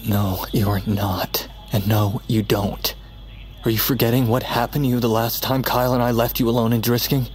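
Actor as gruff, sardonic young American man. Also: mid-range voice, level tone, normal speed, faint music behind, natural voice. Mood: argumentative, alarmed. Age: young adult. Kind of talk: naturalistic audio drama acting.

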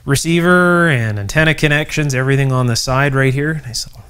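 Middle-aged man, medium pitch, informal explainer, enthusiastic, conversational, electronics channel host. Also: fairly quick, fairly bright tone, smooth. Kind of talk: informal explanatory workshop presentation. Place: indoor electronics workshop.

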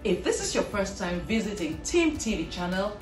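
A woman's voice: mid-range voice, sing-song, normal speed. A woman announcer reading a scripted channel promo in an upbeat way. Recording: distant roomy recording with music.

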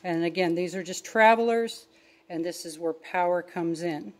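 A woman's voice: lowish voice, normal speed. A middle-aged woman giving a casual, plainspoken, step-by-step tutorial as a DIY video host. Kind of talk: casual hands-on tutorial narration.

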